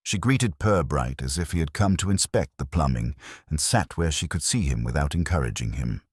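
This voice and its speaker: A man reading a detective story as scripted narration, measured and dryly understated, giving voice to its dialogue. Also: extremely low pitch, animated, breathy edge, no room sound, clean studio sound.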